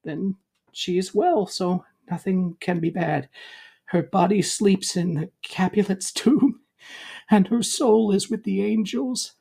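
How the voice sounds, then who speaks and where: A man's voice: takes it slowly, gravelly, warm tone, slightly rough, exaggerated voice. A man voicing an earnest, worried young servant in a stage tragedy, pleading and deferential. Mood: sorrowful, apologetic. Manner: high-pitched, pleading, deferential. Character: earnest, worried young male servant bearing bad news. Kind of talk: dramatic reading of a modernised stage tragedy.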